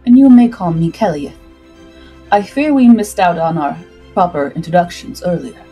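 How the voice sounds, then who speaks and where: A woman voicing a solemn, intense male benefactor in a slow, formal manner for a pirate story. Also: fairly low pitch, mellow, slightly rough. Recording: music behind the voice.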